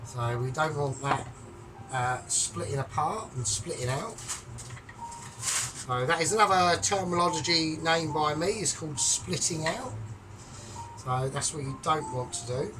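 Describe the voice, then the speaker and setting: A man's voice: fairly high pitch, measured pace, low-quality recording. A man chatting his way through a cooking tutorial, explaining each step with joking asides. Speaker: home cook. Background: music.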